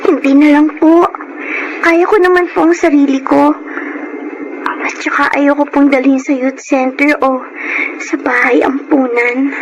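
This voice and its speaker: A woman's voice: high voice, fairly even intonation, a little slow, long pauses, highs cut off. A woman acting a poor, humble, grieving orphan girl in a Filipino radio drama, polite and earnest, with a Filipino accent. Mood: polite, resolute. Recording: noisy radio drama audio.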